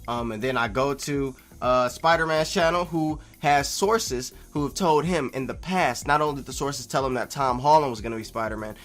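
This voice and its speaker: Male vlogger, average pitch. Casual commentary, halting and rambling but emphatic, light music underneath. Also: fairly bright tone. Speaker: YouTube vlogger. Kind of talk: casual opinionated commentary, replying to viewer comments.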